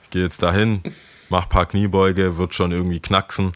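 A young man with a very low, deep voice tells stories in a loose, chatty way. The sound is close and dry, with no room around it. He laughs now and then while he talks. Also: level tone, moderate pace, no room sound. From Ghana. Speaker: guest, skate shop worker. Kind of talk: casual interview storytelling.

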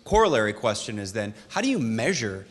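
A man with a fairly low voice puts a question at a town hall. He speaks plainly and directly, in measured phrases. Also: sing-song, a little hiss or hum. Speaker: audience questioner.

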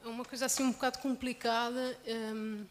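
A young woman panelist speaking haltingly, losing her thread and restarting words often. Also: fairly high pitch, level tone. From Ribeira Grande, Azores. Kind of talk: panel discussion. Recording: long hall reverb.

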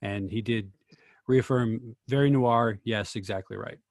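A man's voice: on the low side, measured pace, darkish tone, no room sound, studio quality. A man moderating a group discussion, relaying written comments to the others. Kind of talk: relaying chat messages to a group.

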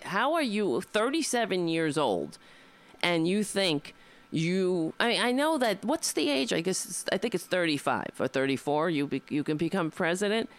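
A woman in her fifties, a comedian hosting her own show, talking in a rambling, wry, casual monologue and swearing freely. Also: on the low side. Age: 50s.

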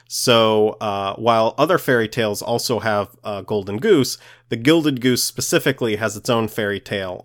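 Male podcast co-host, lowish voice, explains lore conversationally, somewhat halting delivery.

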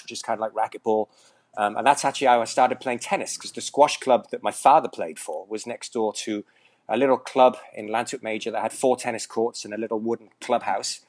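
Welsh guy in his 40s, talks quick, lots of ums and restarts, kinda joking. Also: lowish voice. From Wales. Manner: joking, rambling with many fillers and restarts. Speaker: tennis coach, guest. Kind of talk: casual interview talk.

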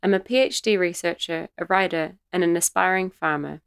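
A woman's voice: on the low side, brisk, gravelly, clear, resonant tone. A woman with a New Zealand accent co-hosting a podcast, introducing herself in a composed way. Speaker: podcast co-host, researcher.

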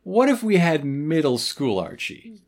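An animated podcast co-host of mid-range pitch, talking in a casual, comedic style. The delivery swings up and down freely, with wry asides and playful riffs. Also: a little slow. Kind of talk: casual comedic chat about a cartoon.

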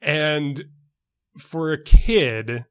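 A man's voice: a little high, expressive, fairly bright tone, smooth. A young man from New York City co-hosting a podcast, choosing his words carefully, with many fillers and restarts. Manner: measured, precise wording with many fillers and restarts. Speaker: podcast co-host. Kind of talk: casual chat.

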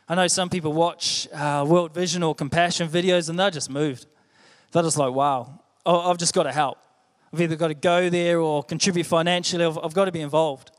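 A man's voice: on the high side, fairly even intonation, a little fast. A young man with a New Zealand accent preaching a sermon, earnest and conversational, often repeating his phrases. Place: church hall. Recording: echoey hall.

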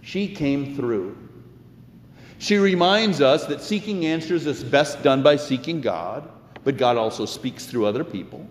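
Animated male preacher in an echoey hall. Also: medium pitch, a little slow. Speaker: pastor preaching. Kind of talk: sermon, expository storytelling with quoted scripture. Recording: echoey hall with long reverb.

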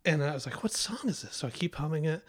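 A man's voice: fairly high pitch, lively intonation, fast. Chatty, laid-back podcast host man. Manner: laid-back storyteller, chuckling. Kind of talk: casual chat.

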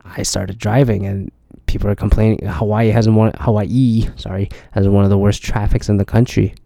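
A man's voice: fairly low pitch, level tone, moderate pace, gravelly, mellow, no room sound, clean studio sound. A tired man talking casually in a rambling, profane solo podcast, with many restarts. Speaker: podcast host. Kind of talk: casual solo travel chat.

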